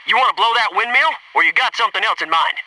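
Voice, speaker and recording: A man's voice: high voice, lively intonation, fast talker, pushed and bright, recorded from a distance, slight background noise, full character voice, low-quality recording. A man playing a cocky, wisecracking young American Navy SEAL in a military video game, with punchy, wry delivery. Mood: focused, brisk. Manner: punchy, wry, eager banter and callouts.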